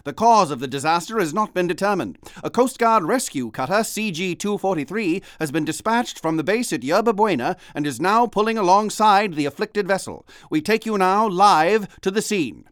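An urgent vintage radio newsreader. His high voice is formal and clipped, recorded very dry and close, as in a serious 1930s bulletin. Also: expressive, moderate pace, few pauses, a bit bright, dry recording. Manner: formal, clipped announcer delivery.

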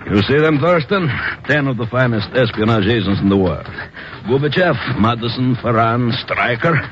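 A man's voice: medium pitch, expressive, moderate pace, a bit bright, close to an everyday voice. An actor playing a boastful, sneering, menacing foreign henchman in a 1950s radio spy drama. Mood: triumphant boasting. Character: gloating, menacing foreign enemy agent henchman, male. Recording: dull, noisy old radio recording.

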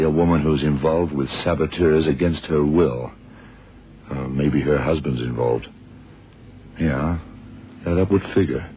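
A man playing a shrewd, world-weary detective in a radio drama, deliberate and slangy as he thinks aloud. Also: a bass voice, a little hiss or hum. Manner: deliberate, musing, terse and slangy. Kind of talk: 1960s-70s radio drama acting. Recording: muffled, tinny old radio recording.

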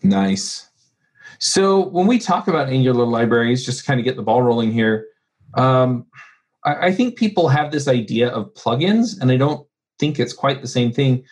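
A man's voice: mid-range voice, animated, normal speed, slightly rough. A man hosting a tech podcast, chatty and friendly, who laughs easily. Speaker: podcast host.

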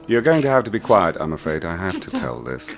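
An actor playing a cold, sadistic man who speaks to a bound captive in a soft, measured, menacing way in a radio play. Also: deep voice, huge pitch swings, creaky, over loud music, heavily acted. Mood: silkily menacing.

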